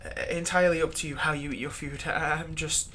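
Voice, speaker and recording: A man's voice: a little high, level tone, a little fast, sharp tone, slight background noise. A man playing a servile, nervous vampire's thrall in a roleplay audio drama, stammering and deferential. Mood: nervous, apologetic. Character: servile, nervous male vampire's thrall, bitterly eager to please.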